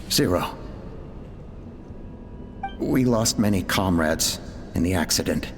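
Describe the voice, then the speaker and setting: A man playing a solemn, driven researcher recording logs in a video game, with a deliberate, dramatic and weighty delivery. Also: on the low side, a little hiss or hum. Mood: grieving, resolute. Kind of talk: scripted log narration in a video game. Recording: long reverb, music behind.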